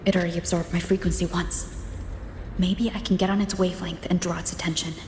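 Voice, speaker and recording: A woman's voice: fairly low pitch, level tone, fast, a little mellow, reverberant, slight background noise, lightly acted. A woman playing a determined, level-headed young video game heroine, speaking in a resolute, terse, thoughtful way. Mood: grave, resolute. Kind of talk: dramatic video game voice acting. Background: music.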